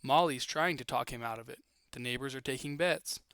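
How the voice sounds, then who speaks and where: Male promo narrator, bright and forceful, mid-pitched, scripted intro in a dry booth sound. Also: fairly quick, clean tone, no echo. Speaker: narrator introducing an old radio episode.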